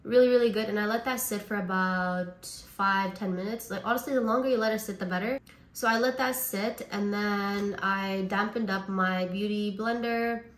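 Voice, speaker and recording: A woman from Canada talks through her makeup routine in a loose, chatty way, her voice hoarse and raspy from a lingering cold. Her pitch sits in an ordinary middle range. The sound carries a noticeable echo from the room around her. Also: steady tone, normal speed. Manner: chatty, says like and honestly a lot. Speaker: beauty vlogger. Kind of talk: casual makeup tutorial chat.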